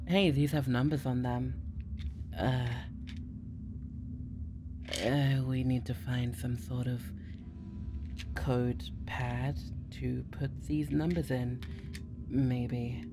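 A woman's voice: a bass voice, exaggerated voice. Woman playing a teasing, bluffing girlfriend.

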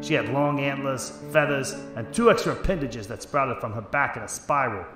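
A hard-boiled detective narrates a noir radio serial, performed by a man. His delivery is terse and dramatic at a middle pitch, in a roomy recording with clear music behind. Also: moderate pace, fairly bright tone, lightly acted. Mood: tense recounting. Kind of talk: first-person scripted noir narration. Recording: echoey room, music underneath.

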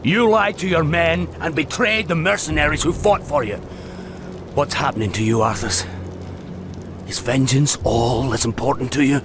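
An actor playing a gruff, fatherly old dwarf warrior in a fantasy game, a cautious and loyal mentor with a measured delivery. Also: a little high, background noise, lightly acted. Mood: reproachful. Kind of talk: fantasy video game cutscene acting. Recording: game music behind the voice.

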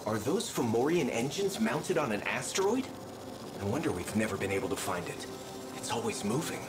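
An actor voices a bold male operative in a space game, reporting to command in a mid-pitched voice. It sounds echoey, with game music clearly behind. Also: sing-song, moderate pace, close to an everyday voice. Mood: intrigued. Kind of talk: scripted video game voice acting.

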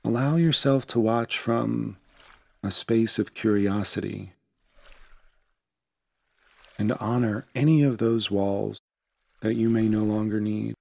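Very low-voiced man guiding meditation, creaky. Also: dry recording. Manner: gentle, unhurried, soothing instruction. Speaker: meditation guide. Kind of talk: guided meditation narration.

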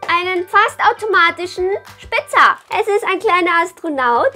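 A woman's voice: very high voice, lively intonation, normal speed, sharp tone, faint music behind. A woman in her twenties co-hosting a video, chatting casually with a playful, teasing manner. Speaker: YouTube host. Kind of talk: casual chat between friends. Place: indoor living room on a sofa.

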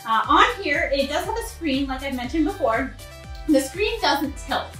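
A woman in her thirties gives a casual product review to camera. Her voice is fairly high, and the room rings around her with some music underneath. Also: lively intonation, moderate pace, a bit bright. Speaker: fitness equipment reviewer. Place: bare-walled home gym in a garage, indoors. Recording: echoey room, faint music behind.

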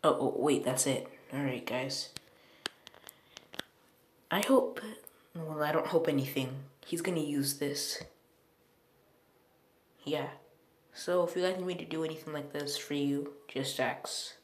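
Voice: medium pitch, unhurried, long pauses, roomy sound. A woman chatting casually as an amateur voice clip maker in a phone recording. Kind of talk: casual chat.

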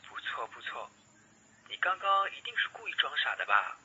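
A man's voice: mid-range voice, big pitch swings, a little fast, bright, forceful tone, husky, dull audio, exaggerated voice. A man playing a playful, clingy godfather in an audio drama, heard through a telephone filter. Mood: eager, teasing. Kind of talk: Chinese audio drama acting.

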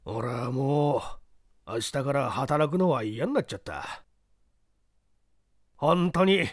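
A rough country construction laborer in an audiobook drama, played by a man. His talk is blunt and colloquial, pitched fairly high for a man, with big swings up and down. The sound is close and dry. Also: fairly bright tone, no room sound, heavily acted. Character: rough rustic construction laborers, shocked and gossiping. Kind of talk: dramatic audiobook character acting.

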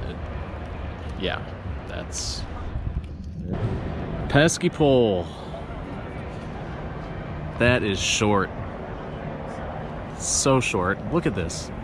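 A man in his thirties vlogging to an action camera held at arm's length, talking excitedly as he walks through an outdoor ballpark. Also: lowish voice, drawn-out words, long pauses, noisy background. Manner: excited, breathless, talking to camera while walking. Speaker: baseball vlogger. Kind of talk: handheld vlog narration.

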